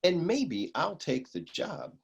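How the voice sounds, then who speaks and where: A man playing a cocky, cool, lecherous private eye with deadpan swagger in a comic noir radio play. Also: a little high, takes it slowly, vocal fry, slightly rough, exaggerated voice.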